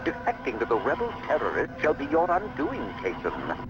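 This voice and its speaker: A man's voice: mid-range voice, huge pitch swings, moderate pace. A man playing a haughty, cold, sneering governor in a video game cutscene, with pompous, formal, gloating speech. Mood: cold contempt. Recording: muffled lo-fi game audio, music behind.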